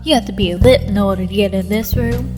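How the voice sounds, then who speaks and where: A woman's voice: mid-range voice, fairly quick, close to an everyday voice. A woman acting a ditzy, chatty nightclub lounge hostess in a radio drama. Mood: airy, chatty. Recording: long club reverb, music behind.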